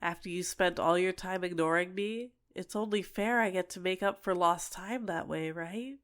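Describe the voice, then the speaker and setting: A woman's voice: fairly low pitch, moderate pace, close to an everyday voice. A woman playing an obsessive, sentient dating-sim character in an ASMR roleplay, clingy and coaxing with menacing sweetness. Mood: possessive, menacing. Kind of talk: scripted ASMR roleplay monologue.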